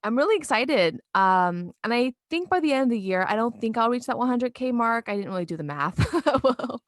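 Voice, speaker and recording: A woman's voice: a little high, animated, brisk, no room sound, studio quality. A young woman with an American accent, chatting casually and informally as a podcast co-host, giggly in manner. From California, United States. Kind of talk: casual chat.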